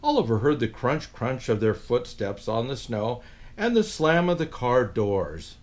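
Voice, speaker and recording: A man's voice: mid-range voice, big pitch swings, measured pace, darkish tone. A man in a Santa persona reading a children's picture book aloud, with playful asides to his young listeners.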